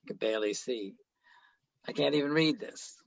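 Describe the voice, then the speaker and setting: An actor playing an older, self-absorbed philosophy professor in a radio play, lecturing pompously and haltingly. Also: male voice, medium pitch, vocal fry, no room sound, lo-fi sound, close to an everyday voice. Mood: weary, lost.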